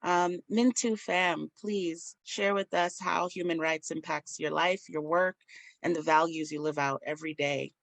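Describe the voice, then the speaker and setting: A woman moderates a panel in a slow, measured and gracious way. Her voice sits a little low and sounds dry and close. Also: steady tone, vocal fry, no room sound.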